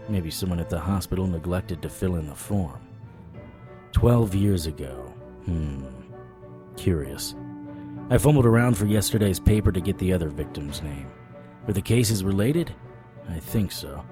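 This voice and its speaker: An actor playing a wry, stubborn occult investigator in an audio drama, talking and narrating in a conversational noir style. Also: male voice, deep voice, mellow.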